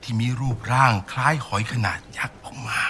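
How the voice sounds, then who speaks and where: A man's voice: mid-range voice, steady tone, racing, pushed and bright, faint music behind, natural voice. An actor playing an earnest Thai village man who tells old legends in a game cutscene. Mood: solemn storytelling. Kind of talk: cinematic game cutscene acting.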